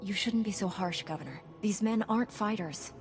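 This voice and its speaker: Actress doing a polite calm lady knight in a video game, medium pitch, echoey, music behind. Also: close to an everyday voice. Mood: concerned. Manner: measured, polite. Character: calm, principled female Jedi knight. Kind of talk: scripted video game acting. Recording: long reverb, music underneath.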